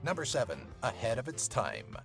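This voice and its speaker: Animated male list-show narrator over music. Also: mid-range voice, normal speed, gravelly. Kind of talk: scripted top-ten list narration. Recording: music bed behind the voice. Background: background music.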